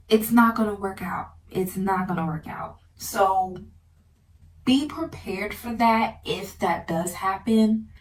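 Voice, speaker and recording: A woman in her early twenties giving casual advice in a girly, familiar chit-chat style, like a vlogger talking to her viewers. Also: medium pitch. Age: early 20s. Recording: distant mic with light background noise.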